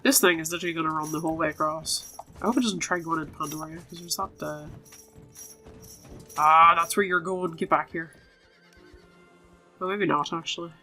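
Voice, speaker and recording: Brief: female gaming commentator, bright and lower-pitched, casual and playful, game music underneath. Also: a little fast, long pauses. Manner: playful, talks to game characters. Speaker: video game streamer. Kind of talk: casual gameplay commentary and reading out game dialogue. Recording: music behind the voice.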